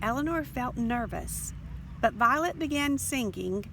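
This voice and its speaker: A woman reading a children's storybook aloud in an expressive, deliberate narration. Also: a little high. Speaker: storybook reader. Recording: faint background noise.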